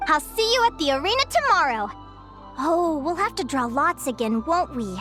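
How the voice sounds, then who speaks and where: A woman voicing an earnest, adventurous young creature trainer in an animated video game, youthful and eager. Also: high-pitched. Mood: friendly, flustered.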